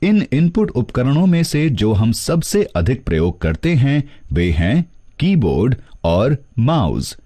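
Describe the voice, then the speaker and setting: A man reading scripted instructional narration, explaining things step by step in a measured teaching manner. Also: medium pitch, very animated, mellow. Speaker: narrator of a computer course.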